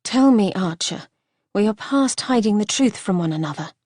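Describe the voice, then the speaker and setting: A woman doing a fiery young wife, high and intense. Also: big pitch swings, measured pace, darkish tone. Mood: teasing. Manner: raised, urgent and emotional.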